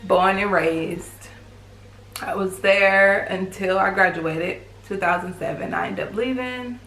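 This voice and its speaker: Bright woman, thirty. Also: mid-range voice, slow pace, reverberant. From East Texas, USA. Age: 30s. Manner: relaxed, laughs easily. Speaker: vlog co-host. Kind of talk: casual story time chat.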